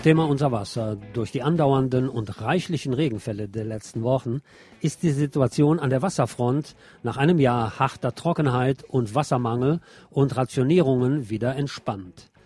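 Male radio presenter, soft mellow voice, reading local news, opinionated, light music underneath. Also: medium pitch, moderate pace, husky. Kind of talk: scripted local news read with spoken asides.

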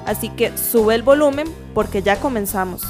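A woman's voice: on the high side, moderate pace, loud music behind the voice. A woman announcer delivering a scripted promotional introduction to a radio drama program.

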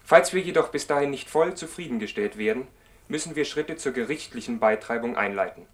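A man playing a formal, politely threatening lawyer reading out a measured letter in a German radio play. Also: mid-range voice, lively intonation, natural voice. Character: smoothly threatening, formal male collection lawyer.

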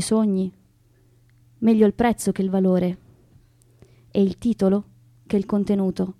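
A woman giving a measured literary reading of a poem. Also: medium pitch, dark tone, no room sound, clean studio sound. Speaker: poetry reader.

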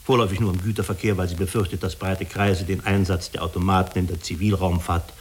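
A man playing a diplomatic, persuasive agency official in a German radio drama, speaking formally and deliberately with small hesitant pauses. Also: sits low, level tone, natural voice. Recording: clearly hissy radio recording.

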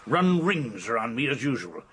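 Blustery english colonel cop, very husky, voice swings up and down, hearty, radio play. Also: male voice, medium pitch, sing-song, normal speed, a bit bright, full character voice. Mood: brisk, wry. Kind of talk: radio drama acting.

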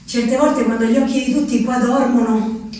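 A woman's voice: fairly high pitch, fairly even intonation, normal speed, warm tone, natural voice. An actress playing a restless peasant wife shut in an asylum, telling her story in an intimate, obsessive, repetitive way with an Abruzzo Italian accent, as a theatrical radio monologue. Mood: hurt, intimate. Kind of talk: theatrical radio drama monologue, reciting a letter. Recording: roomy, distant, noisy radio-theatre recording.

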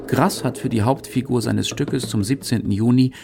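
A man's voice: mid-range voice, steady tone, moderate pace, gravelly, music in the background. A man from Germany, reading scripted documentary narration.

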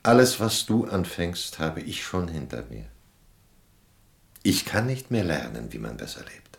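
An aging king and war hero with an extremely low, creaky voice. He speaks grandly and wearily in radio drama dialogue, his melody swinging widely between boast and reflection. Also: sing-song, unhurried, heavily acted. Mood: weary, reflective. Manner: grandiloquent, reflective, world-weary. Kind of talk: German radio play dialogue.

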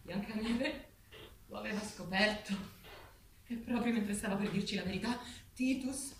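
An actress playing a fervent farm-animal revolutionary leader in a stage play, declamatory and emphatic. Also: female voice, mid-range voice, normal speed, natural voice. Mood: indignant, accusing. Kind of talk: theatrical stage acting. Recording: echoey theatre, distant mic, background noise.

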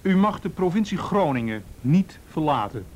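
A formal, authoritative police officer with a Netherlands Dutch accent. His voice sits a little high and his delivery is measured and official. The radio drama recording has a noticeable hiss and low rumble. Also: sing-song, a little mellow. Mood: stern, formal.

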